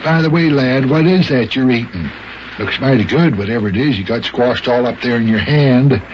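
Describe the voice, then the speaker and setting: Guy doing a greedy blustery mayor, excitable, muffled hissy old radio comedy. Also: mid-range voice, close to an everyday voice. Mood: blustering, curious. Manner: blustery, stammering, drawn-out exclamations. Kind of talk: old-time children's radio acting.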